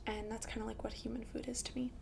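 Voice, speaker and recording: Woman playing a soft whispery, creaky-voiced vampire girl. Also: a little high, flat delivery, fast talker, noisy background. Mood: casual, eager. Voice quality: breathy, half-whispered soft voice. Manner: chatty, hesitant, reassuring, casual. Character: friendly, considerate, lonely young female vampire on a date. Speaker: ASMR performer. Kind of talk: soft-spoken ASMR roleplay.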